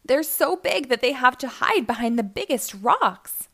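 A woman voicing a keen, quick-thinking boy driver who speaks earnestly and eagerly in a children's storybook. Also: high voice, big pitch swings, fairly bright tone, heavily acted. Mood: urgent.

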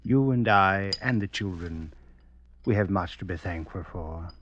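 A man's voice: low voice, measured pace, fairly bright tone, lo-fi sound, a little hiss or hum. A man acting a middle-aged physician in an old-time radio drama, with formal, precise and pompous diction. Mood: smug, domestic. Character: genteel, composed physician husband hiding his guilt.

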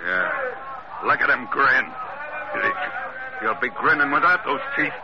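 Scrappy suspicious american sailor sidekick, slangy, pitch jumps around, muffled old radio show. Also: male voice, big pitch swings, a little slow. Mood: distrustful, combative. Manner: slangy tough-guy talk, swinging from muttering to barking. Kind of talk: old-time radio adventure drama acting. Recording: muffled, faintly noisy old radio recording.

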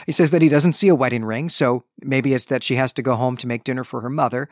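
A man co-hosting a podcast, recapping and commenting on fiction in a conversational, measured, explanatory way. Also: medium pitch, no echo, studio quality. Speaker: podcast co-host.

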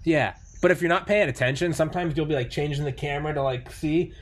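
A man hosting a podcast in casual chat, rambling excitedly with lots of swearing and filler words. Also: a little high, fast talker. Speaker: podcast host.

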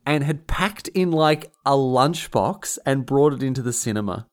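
A man's voice: mid-range voice, lively intonation, moderate pace. An Australian man hosting a podcast, telling stories in casual comic chat and repeating phrases for emphasis. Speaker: podcast host.

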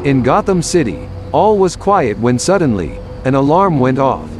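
A man's voice: mid-range voice, very animated, moderate pace, noisy background. A man narrating a scripted superhero story as an audio drama narrator. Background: music.

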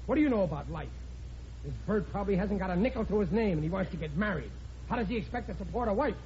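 An actor playing an excitable, bumbling middle-aged father in an old radio comedy, blustering and muddled. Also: high voice, fast. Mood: scolding, indignant. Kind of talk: 1940s-50s radio situation comedy acting. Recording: muffled, noisy vintage radio recording.

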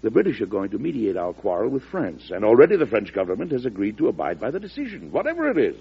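An American man acting a genial, shrewd older president in a 1940s radio drama, gravelly and husky. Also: fairly low pitch, huge pitch swings, fast, exaggerated voice. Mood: confident. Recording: muffled old radio recording.

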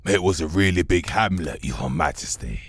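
Low, husky, boastful werewolf commander. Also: male voice, lively intonation, moderate pace, fairly bright tone, full character voice. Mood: defensive, blustering. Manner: obsequious, bragging, theatrical. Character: fearsome male werewolf army commander, boastful yet servile. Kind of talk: comic fantasy audio drama.

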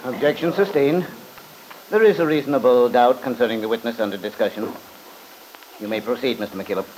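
A man playing a stern, measured judge in an old radio drama, formal and authoritative. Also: mid-range voice, darkish tone, close to an everyday voice. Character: stern, measured male judge presiding over court. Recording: noisy old radio recording.

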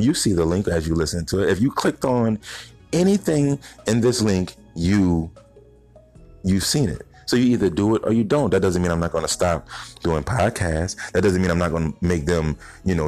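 A man in his thirties hosting an informal motivational podcast, speaking straight to listeners in slangy language with rhetorical questions. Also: sits low. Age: 30s. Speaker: podcast host.

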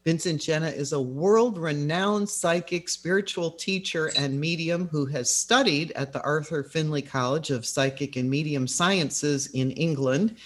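A man's voice: fairly high pitch, unhurried, hardly any pauses, a bit bright. A man co-hosting a talk show, reading a guest introduction in a friendly, upbeat way. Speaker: co-host.

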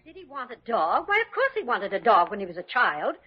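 An indignant, overprotective American mother of middle age in a vintage radio play. She speaks in a fairly high, very animated voice, clipped and defensive. The old recording sounds dull. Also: fairly quick, slightly rough, faint music behind. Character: defensive, rigid, overprotective mother of grown son. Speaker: actress in a radio drama. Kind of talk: classic American radio drama acting.

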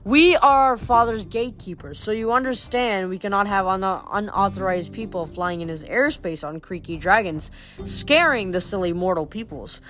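A woman's voice: medium pitch, moderate pace, fairly bright tone, faint music behind, close to an everyday voice. Woman putting on a vain French-accented playboy. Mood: smug, officious.

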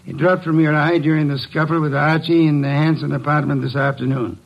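An actor playing a commanding, fussy older genius detective with formal, precise diction, in old-time radio mystery style. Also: male voice, fairly high pitch, little pitch movement, brisk, gravelly. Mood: grave, declarative. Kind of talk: 1940s-50s radio mystery acting. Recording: dull old radio recording.

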